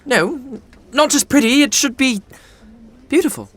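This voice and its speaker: An actor playing a lovestruck young royal fiancé in an audio romantic comedy, halting, earnest and easily flustered. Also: male voice, very high voice, fairly bright tone, full character voice. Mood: earnest, smitten. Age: young adult.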